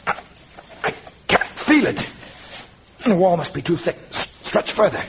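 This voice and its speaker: An actor playing a noble, earnest and commanding jungle hero in a vintage radio serial, with formal, deliberate diction. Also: male voice, high-pitched, big pitch swings, fairly bright tone, heavily acted. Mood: tense. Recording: dull noisy vintage radio recording.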